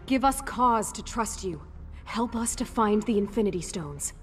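An actress playing a level-headed, resolute heroine in a video game, in a reverberant space. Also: female voice, mid-range voice, animated, normal speed, natural voice. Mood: firm. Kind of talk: video game cutscene acting. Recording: reverberant hall, music behind.

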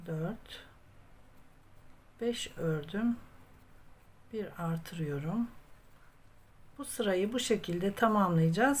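A woman's voice: mid-range voice, a bit bright. A woman with a mid-range voice teaches a craft as a tutorial host. She goes step by step, patient and methodical, in the manner of someone guiding viewers through handwork. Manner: patient, methodical, often counting aloud.

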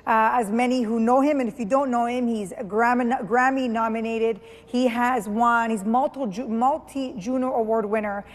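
A woman hosting a TV interview from a studio, chatty and halting, with frequent fillers. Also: on the high side, steady tone, long reverb tail. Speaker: TV host.

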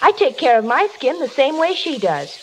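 Animated, knowing 1930s housewife. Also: female voice, high voice, normal speed. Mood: eager. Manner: emphatic, explanatory. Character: chatty, knowing housewife praising beauty soap. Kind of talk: 1930s radio commercial acting. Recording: crackly 1930s radio recording.

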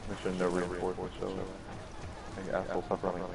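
A man with a very low voice rambles casually with a friend while they play. Loud game sounds of gunfire and vehicles run behind him. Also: completely flat delivery, fast, gravelly, noisy background. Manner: rambling, chatty, filler-heavy. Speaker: gamer playing co-op. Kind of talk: casual gaming chat.

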